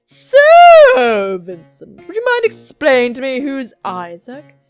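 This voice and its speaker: A woman's voice: sits high, wildly swooping pitch, normal speed, soft background music. A woman voicing an irate, suspicious male boss from a visual novel, scolding and exclaiming indignantly.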